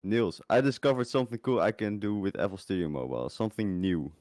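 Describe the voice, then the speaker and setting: Young male tutorial host, low dark tone, dry close sound, halting informal delivery. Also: dry recording. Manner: informal, halting, repeats words. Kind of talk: casual screen-recording tutorial.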